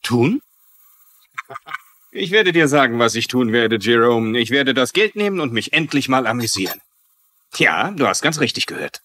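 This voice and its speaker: A man's voice: medium pitch, sing-song, moderate pace, pauses often, pushed and bright, dry recording. A man playing a cynical, scornful younger business partner, sarcastic and dismissive, in a dubbed TV drama. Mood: scornful, defiant.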